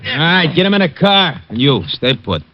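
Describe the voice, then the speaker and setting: An actor playing a menacing gangster in an old radio drama, making tough, clipped threats. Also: male voice, a little high, big pitch swings, moderate pace. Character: menacing male gangster with a gun. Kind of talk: 1940s radio acting. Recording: dull vintage radio recording.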